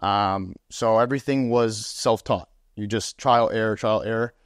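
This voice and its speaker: A man's voice: lowish voice, normal speed, smooth, dry recording, clean studio sound. A young man from Miami, a podcast guest, talking through his story in a casual interview with a lapel mic in a small room. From Miami, USA. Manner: animated, gestures, uses fillers like "um" and "right?". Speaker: podcast guest, sales executive. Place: small room with armchairs, indoors.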